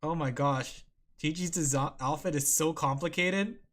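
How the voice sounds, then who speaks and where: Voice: medium pitch, moderate pace, fairly bright tone. A vtuber streamer who giggles often and chats playfully with viewers while drawing. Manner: giggly, playful, talks to chat. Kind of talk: casual livestream chat while drawing.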